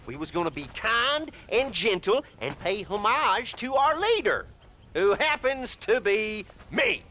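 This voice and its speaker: Strident, blustering hillbilly survivor. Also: male voice, high-pitched, sing-song, unhurried, pushed and bright, heavily acted. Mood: pompous, blustering. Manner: suspicious, blustering. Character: jumpy, self-important hillbilly survivor, would-be king. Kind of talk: comic video game cutscene acting.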